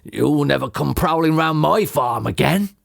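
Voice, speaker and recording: A man's voice: mid-range voice, wildly swooping pitch, a little slow, creaky, breathy edge, full character voice. A man voicing a fat, gloating, bullying farmer in a children's storybook. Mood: gloating, frantic.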